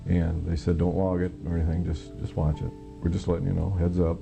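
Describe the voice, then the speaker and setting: An American man recounting his own experience in an interview, halting, plain-spoken and matter-of-fact, as a former air traffic controller. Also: deep voice, little pitch movement, creaky, over music.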